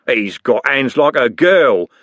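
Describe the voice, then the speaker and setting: A man's voice: on the high side, sing-song, unhurried, fairly bright tone, dry recording, dull audio, voice pushed up. A man voicing a big, menacing gang leader who sneers and mocks. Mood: sneering. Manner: sneering, mocking.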